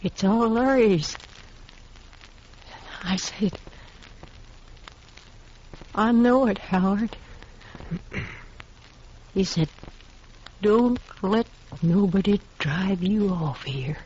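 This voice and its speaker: A woman playing a grief-worn, stubborn frontier widow in a 1950s radio western, speaking haltingly in plain rural words. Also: mid-range voice, darkish tone, husky. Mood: grieving, haunted. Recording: muffled old 1950s radio recording.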